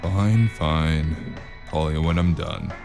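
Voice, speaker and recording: Jaded male private eye played by a man, noir audio drama: extremely deep, dry wit, music bed. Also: slow, exaggerated voice. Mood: grudging. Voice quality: deep, creaky. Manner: wry, world-weary, casual. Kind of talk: noir audio drama with first-person narration.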